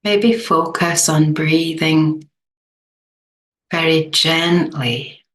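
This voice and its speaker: Meditation guide, female. Extremely slow delivery, gentle, low register, little melodic movement. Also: fairly even intonation. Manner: gentle, unhurried, long pauses.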